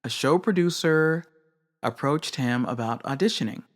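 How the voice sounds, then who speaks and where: A woman hosts a craft podcast and talks through her views by herself. She is measured and candid and says plainly what she thinks. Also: deep voice. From not British. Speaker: podcast host. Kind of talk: reflective solo commentary.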